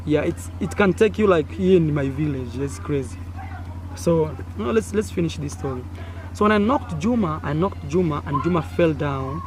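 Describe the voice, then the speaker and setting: Lively Kenyan man telling a story. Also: on the high side, moderate pace, low-quality recording. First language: Swahili. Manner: animated, acts out sounds and gestures with frequent fillers. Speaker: content creator. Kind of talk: casual storytelling to camera. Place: outdoors in a village. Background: faint village noise.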